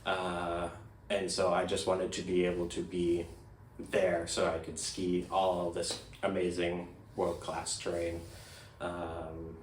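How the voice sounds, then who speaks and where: Male, American, low-pitched, reflective and halting interviewee, recorded in a reverberant room. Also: monotone, a little hiss or hum. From United States. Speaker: interviewee, migrant. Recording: echoey room, distant microphone.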